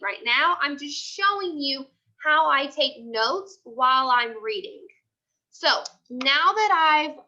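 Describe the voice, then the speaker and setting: A woman's voice: sits high, bright, forceful tone, lo-fi sound. A woman teacher giving a read-aloud lesson to third graders, emphatic and repeating her instructions.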